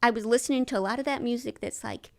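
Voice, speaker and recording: A woman with a high voice, a comedian guest on an interview podcast, quirky and self-deprecating, often rambling through her thoughts. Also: fast talker.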